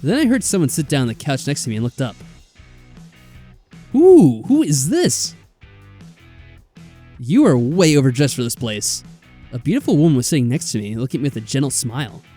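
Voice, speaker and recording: Animated man reading a visual novel, music behind. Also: medium pitch, moderate pace, long pauses. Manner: animated, mildly sarcastic reactions with profanity. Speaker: let's player. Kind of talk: casual video game commentary, reading visual novel text aloud.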